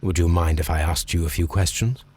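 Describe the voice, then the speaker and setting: An actor playing a composed, probing English detective in a video game, with a formal, measured, politely clipped manner. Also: male voice, deep voice, fairly even intonation, a little mellow, breathy edge. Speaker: detective questioning suspects. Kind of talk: scripted video game acting.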